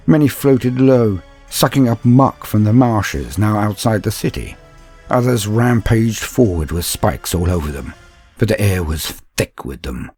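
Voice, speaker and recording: A man narrating a scripted dramatic story in a grave, measured, theatrical manner. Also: low voice, a little mellow.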